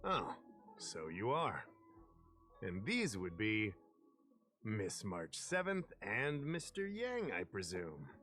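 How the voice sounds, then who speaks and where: A man's voice: on the low side, wildly swooping pitch, slow pace, long pauses, bright, forceful tone, heavily acted. A man voicing a polite, formal, businesslike investigator in an anime-style video game. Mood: courteous. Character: courteous, businesslike male investigator. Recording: game music behind the voice.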